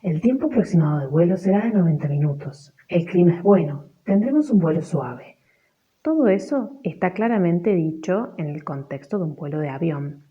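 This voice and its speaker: A woman's voice: lowish voice, lively intonation, moderate pace, dull audio. A woman with an Argentine accent giving instructions and examples as a teacher.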